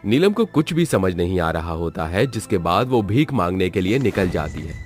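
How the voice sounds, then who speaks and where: A man's voice: low-pitched, big pitch swings, normal speed, faint music behind. A man giving scripted narration as the storyteller of a horror tale.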